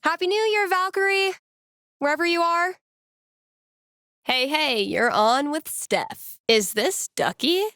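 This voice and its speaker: An actress playing a wry, playful young radio DJ who tells fortunes to callers in a conversational, mock-solemn way in a video game. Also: female voice, high-pitched, lively intonation, slow, pauses often, fairly bright tone, no echo, clean studio sound, heavily acted. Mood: excited, then amused, curious. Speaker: radio host. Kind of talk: video game dialogue as late-night radio call-in show.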